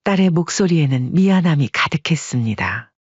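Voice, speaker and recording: A steady narrator tells a family drama in a calm, even way. The voice is husky and mid-pitched. The recording is dry and a bit dull. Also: moderate pace, no echo. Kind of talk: scripted storytelling narration.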